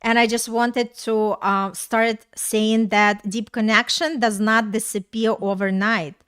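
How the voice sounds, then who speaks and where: Smooth-voiced woman hosting a talk show. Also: on the high side, level tone, unhurried, a bit bright. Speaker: podcast host.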